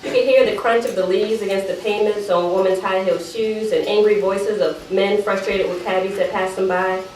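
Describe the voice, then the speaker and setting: A woman's voice: medium pitch, steady tone, fairly quick, darkish tone, natural voice. A woman performing a dramatic monologue as an evasive teenage sister, chatty and apologetic as she pleads her case to her mother. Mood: chatty, gossipy. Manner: defensive, apologetic, chatty digressions. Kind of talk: dramatic monologue read aloud at a live event. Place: live event room, recorded from a distance. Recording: roomy, distant microphone, faint background noise.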